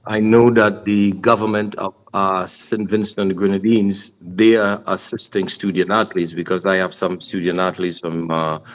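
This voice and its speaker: A man's voice: sits low, little pitch movement, moderate pace, hardly any pauses. A man from Trinidad answering audience questions at a sports symposium, earnest and explanatory, often restarting his words. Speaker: basketball program speaker.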